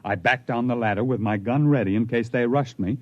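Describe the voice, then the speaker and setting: A man's voice: mid-range voice, a little fast, no echo, natural voice. An actor playing a proud, intense stranded spaceman telling his story in a 1950s radio drama, with emphatic, dramatic delivery. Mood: tense, horrified. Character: stranded human spaceman recounting his life among aliens, proud, intense. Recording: muffled vintage 1950s radio recording.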